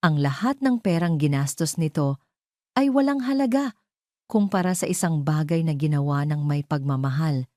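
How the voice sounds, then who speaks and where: Low, mellow woman narrating a story. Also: animated, moderate pace, dry recording, clean studio sound. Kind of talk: scripted romance story narration.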